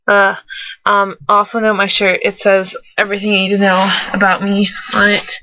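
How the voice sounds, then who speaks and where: A young woman, sick and rougher-voiced than usual, rambling through fan questions in a casual webcam vlog, self-deprecating and full of fillers. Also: mid-range voice, completely flat delivery, normal speed, pushed and bright, dry recording. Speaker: vlogger. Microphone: webcam mic. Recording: dull lo-fi webcam audio.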